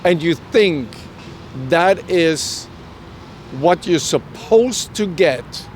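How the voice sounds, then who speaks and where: A middle-aged man with a German accent, a native German speaker, tells personal stories as an interview guest. His voice sits a little high, and he speaks earnestly and haltingly, often acting out bits of dialogue. He is recorded outdoors with faint vehicle noise around him. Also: animated. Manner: halting, earnest delivery with self-quoted dialogue. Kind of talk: personal storytelling in a conversational interview. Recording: outdoor street recording with traffic rumble.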